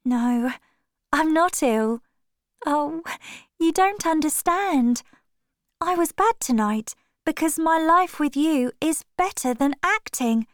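A woman voicing a fragile young actress, with a high, tearful, pleading voice. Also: expressive, slow pace, no echo, studio quality. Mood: tender.

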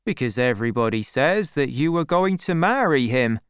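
A reader voicing an earnest, worried farmer, a lovesick man who speaks in a measured, pleading way. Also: medium pitch, animated, clean tone, dry recording, clean studio sound, lightly acted. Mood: worried, sad.

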